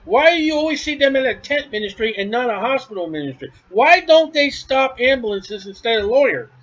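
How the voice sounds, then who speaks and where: A male preacher with a high-pitched voice delivers a blunt, scornful sermon from his house. He hammers each point home emphatically. The recording is dull and lo-fi. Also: big pitch swings, fairly quick, a bit bright.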